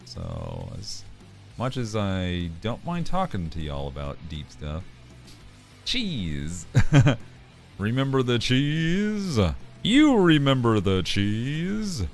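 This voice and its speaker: A man's voice: medium pitch, wildly swooping pitch, very slow, gravelly, soft background music. A man chatting casually on a livestream, joking loosely and laughing often. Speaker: streamer.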